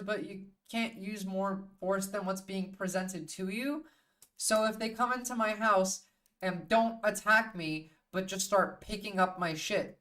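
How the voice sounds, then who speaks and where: A woman's voice: medium pitch, fairly bright tone, far from the mic. A woman hosting a livestream in casual, opinionated chat, swearing freely and bluntly as she thinks aloud. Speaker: livestream host.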